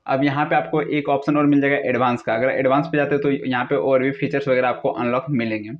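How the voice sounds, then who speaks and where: Young guy doing a how-to vid, mixes in english words, average pitch, kinda dull lofi audio. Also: fairly even intonation, fast talker. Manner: repetitive, instructional phrasing with English words mixed in. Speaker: tutorial video host. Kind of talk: casual how-to tutorial narration. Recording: dull lo-fi recording.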